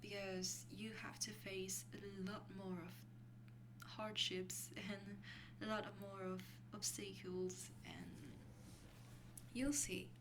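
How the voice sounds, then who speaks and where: A woman playing an affectionate young vampire girlfriend in an ASMR roleplay, speaking softly and intimately in a hesitant, breathy voice that often drops to a whisper. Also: medium pitch, flat delivery, natural voice. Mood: gentle, instructive. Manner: slow, hesitant, intimate, with small breathy laughs. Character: affectionate young female vampire girlfriend mentoring a newly turned lover. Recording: clearly noisy recording with hum.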